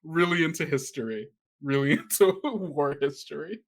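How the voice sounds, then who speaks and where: A man's voice: fairly high pitch, moderate pace. A man casually hosting a tabletop roleplaying podcast in an informal, joking way. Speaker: game master and host.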